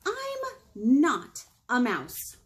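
A woman voicing an indignant little girl from a storybook, exclaiming her lines in an emphatic, drawn-out way. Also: sits high, wildly swooping pitch, heavily acted. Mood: put out. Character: indignant little girl nicknamed Mouse, storybook narrator-heroine.

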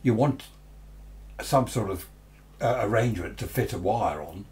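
Older English man, low rambling voice. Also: expressive, unhurried, darkish tone. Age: 60s or older. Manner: rambling, chatty, muses aloud to himself. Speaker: radio hobbyist presenter. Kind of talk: casual unscripted product review. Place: small cluttered indoor radio workshop. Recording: noticeable background noise with hum.

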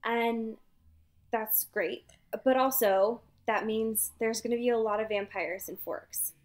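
High-voiced woman podcaster, chatty retelling. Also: takes it slowly. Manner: animated retelling, acts out dialogue. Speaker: podcast co-host. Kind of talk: casual chat recapping a novel.

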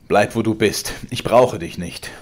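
A man reading a story voices a domineering old father who rants loudly, mocking and accusing his son. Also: fairly low pitch, unhurried, voice pushed up. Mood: scornful. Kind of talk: audiobook character voice.

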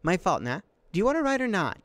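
A man's voice: high-pitched, huge pitch swings, fast talker, no room sound, voice pushed up. A man voicing an indignant young girl from a storybook, an older sister taunting her brother.

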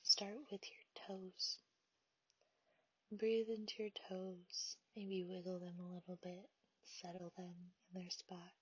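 Woman meditation guide: breathy half-whisper, unhurried delivery, muffled dry home recording. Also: fairly low pitch, level tone, no echo. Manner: soft, half-whispered, slow and soothing.

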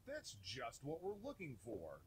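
A man's voice: mid-range voice, wildly swooping pitch, measured pace, slight background noise. A man narrating a children's game from a script, giving simple instructions for young kids.

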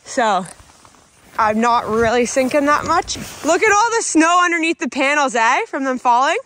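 Woman vlogger, thirties, Canadian accent, high voice, playful chat, recorded outdoors with clear background noise. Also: lively intonation, normal speed, a bit bright. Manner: playful, chatty. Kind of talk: casual chat to camera and to a tractor. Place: outdoors in snow, around a tractor and yard.

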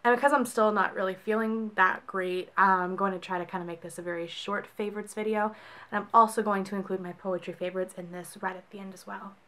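A young woman with a Texan accent, her voice soft and scratchy from a cold, chatting casually and rambling to camera about monthly favorites in a small room. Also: medium pitch, fairly even intonation, fairly quick, faint music behind. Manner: chatty, rambling, with frequent laughs. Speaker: book and beauty vlogger. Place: small room in front of bookshelves, indoors.

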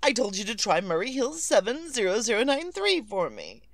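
A woman's voice: on the high side, wildly swooping pitch, normal speed, dry recording, exaggerated voice. An actress playing an imperious, anxious married woman in a 1940s-style radio thriller, dramatic, demanding and flustered. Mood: indignant, impatient. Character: imperious, anxious, fretful married woman making frantic phone calls. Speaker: lead actress.

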